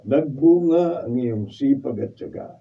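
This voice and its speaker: An actor playing a hearty, authoritative, wealthy older father-in-law with a husky voice in a Filipino radio drama. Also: medium pitch, expressive, a little slow. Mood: approving, jovial. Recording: dull sound, distant microphone.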